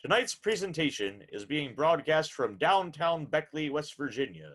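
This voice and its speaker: A man's voice: on the high side, big pitch swings, moderate pace, a bit bright. A man hosting a radio hour, with a formal announcer's delivery for introductions and interview questions.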